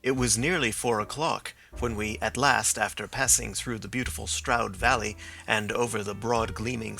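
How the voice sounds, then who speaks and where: A man narrates with a deeply gravelly, crackling voice that stays bright and forceful. His reading is measured and even, like a steady audiobook. Soft music sits faintly behind him. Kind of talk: scripted narration.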